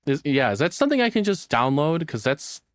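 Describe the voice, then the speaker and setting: A man's voice: a little high, very animated, brisk, no room sound. A man giving casual, enthusiastic reaction commentary as a streamer, swearing freely in an informal way.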